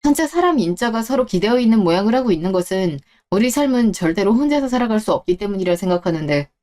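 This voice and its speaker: A woman's voice: fairly high pitch, warm tone, close to an everyday voice. A woman playing a smug male job applicant, an ex-husband who talks in long, self-justifying answers in a story radio drama.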